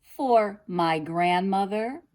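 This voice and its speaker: A woman's voice: mid-range voice, expressive, drawn-out words, a little mellow. A woman voicing a cheerful, naive little country girl from a children's storybook, eager and earnest. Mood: proud, eager. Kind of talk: children's storybook character reading.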